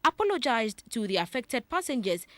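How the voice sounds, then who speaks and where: A woman's voice: fairly high pitch, sing-song, normal speed, no room sound. A woman with a Ghanaian accent reading a scripted television news report.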